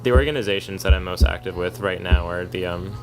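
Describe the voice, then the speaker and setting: A man co-hosting a podcast, talking at length in a monotone, argumentative way in casual chat. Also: low voice, fast, music in the background. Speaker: podcast co-host, activist. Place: kava bar.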